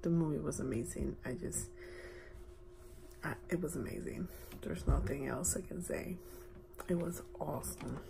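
A woman's voice: sits low, moderate pace, gravelly, pauses often, noisy background. A middle-aged woman, chatting casually to camera at home and switching between Spanish and English. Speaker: vlogger. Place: at home indoors, later in a car. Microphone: phone or camera at arm's length.

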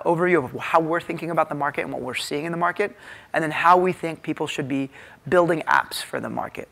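A man's voice: on the high side, creaky, a bit bright. An American man in his thirties giving an informal conference keynote with frequent hesitations. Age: 30s. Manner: halting, filler-heavy, informal delivery. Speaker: keynote speaker, tech executive. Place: hotel conference ballroom stage, indoors. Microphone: lapel mic.

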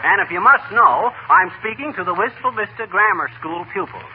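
Boastful American husband, radio comedy, high swooping voice, pompous, folksy, tinny 1940 recording. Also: male voice, lively intonation, a little fast, vocal fry, very noisy. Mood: self-important. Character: blustering, boastful small-town American husband. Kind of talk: 1940s radio comedy acting.

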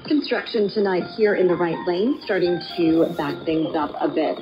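Low-voiced female radio traffic reporter. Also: normal speed, over music. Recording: dull AM radio reception.

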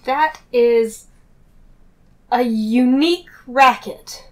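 A woman makes wry, casual remarks as a tabletop player. Her pitch sits a bit high, and clear noise runs behind her. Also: very slow. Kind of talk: casual chat. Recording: clearly noisy, with clicks.

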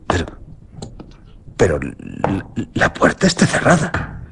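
Horrified doctor, wildly swooping voice. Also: male voice, low voice, huge pitch swings, noisy background, exaggerated voice. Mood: uneasy, hesitant. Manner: halting, stammering, dramatic. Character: shaken male doctor discovering a dead patient, horrified. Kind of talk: Spanish radio horror drama acting.

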